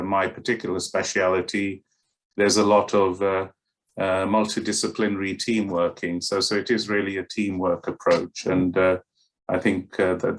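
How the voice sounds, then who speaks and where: Hesitant middle-aged man, low voice. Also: vocal fry. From Kenya and West London. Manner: hesitant, many fillers and restarts. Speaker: paediatric doctor. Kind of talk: interview answers to camera.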